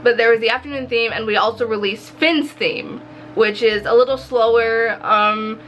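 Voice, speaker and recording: A woman chatting casually in a video diary, with an animated, expressive, self-mocking manner and casual swearing. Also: on the high side, normal speed, muffled recording, a little hiss or hum. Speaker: visual novel developer and vlogger. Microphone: recording to camera. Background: background music.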